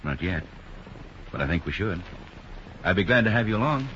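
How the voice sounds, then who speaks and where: A man playing a deliberate, skeptical police sergeant in a 1950s radio drama, with measured, doubtful delivery. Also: low voice, sing-song, fairly bright tone. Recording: dull, noisy 1950s radio recording.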